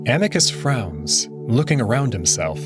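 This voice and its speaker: A man's voice: mid-range voice, very animated, a little slow, loud music behind the voice. A man giving dramatic scripted narration as he reads a visual novel story aloud.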